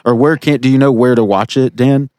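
A man's voice: mid-range voice, fairly even intonation, brisk, a little mellow. A man co-hosting a podcast, cracking crude jokes and laughing in a casual chat. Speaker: podcast co-host.

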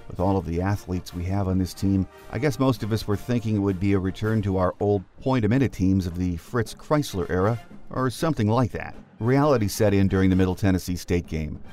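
A man hosting a sports podcast, giving measured scripted commentary that speaks directly to fans. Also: deep voice, mellow, soft background music. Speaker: podcast host.

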